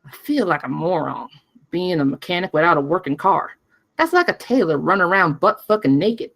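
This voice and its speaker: A woman's voice: fairly low pitch, lively intonation, brisk, vocal fry, a bit bright, exaggerated voice. A woman playing a brash, foul-mouthed young mechanic in a screenplay table read, casual, sarcastic and blunt. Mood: rueful, casual.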